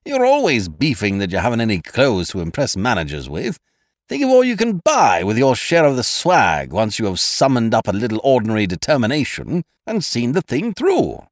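A hearty, blustering young schemer, as a male narrator puts him on, pushing his friends along with loud, coaxing enthusiasm. The voice swings widely up and down as he talks. The recording is dry and close. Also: medium pitch, huge pitch swings, moderate pace, no echo. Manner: blustering, persuasive, calls others laddie and old horses.

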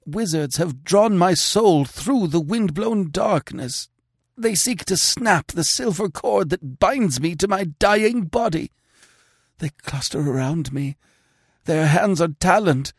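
A man's voice: high-pitched, animated, slow, husky, no echo, studio quality, pitched above the performer's own voice, full character voice. A man voicing a bewitched, dying young king who pleads in anguish and gives imperious orders, in an audiobook reading. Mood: anguished pleading. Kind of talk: audiobook character voice.

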